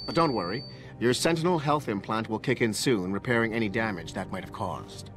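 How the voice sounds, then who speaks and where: An actor playing a prickly, condescending corporate security tech expert in a video game, with a snide, sarcastic manner. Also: male voice, fairly low pitch, a little fast, lightly acted. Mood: clinical. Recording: background music under the voice.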